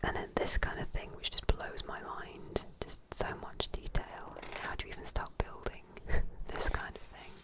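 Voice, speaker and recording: A woman in a soft, breathy, unvoiced whisper, giving gentle, chatty, admiring ASMR commentary at an unhurried pace. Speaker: ASMR host. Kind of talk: whispered ASMR commentary while leafing through an art book.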